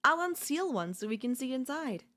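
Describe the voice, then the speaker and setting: A woman playing an obsessive, domineering yandere in a dark ASMR roleplay, seductive and smug, slow and teasing, slipping into whispers. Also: high-pitched, big pitch swings, heavily acted. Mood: gloating, excited. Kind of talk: dark ASMR audio roleplay, intimate dramatic acting.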